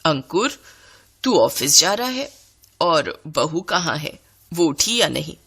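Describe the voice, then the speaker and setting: A middle-aged Indian mother-in-law from a cartoon family tale, acted by a woman narrator. Her voice sits low and swings widely in pitch. She scolds and frets in dramatic, exasperated exclamations. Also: very animated, slow pace, fairly bright tone, slightly rough, heavily acted. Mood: bewildered.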